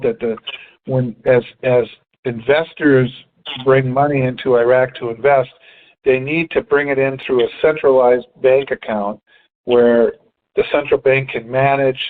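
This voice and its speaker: A man co-hosting a financial conference call, explaining in measured, halting phrases over a tinny telephone line. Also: mid-range voice. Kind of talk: explanatory discussion, partly reading articles aloud.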